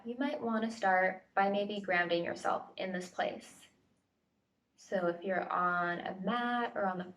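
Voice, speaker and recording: A woman facilitator leading a guided body scan meditation with gentle, tentative invitations and pauses, recorded at home. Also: mid-range voice. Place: at home, indoors. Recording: home recording, distant mic.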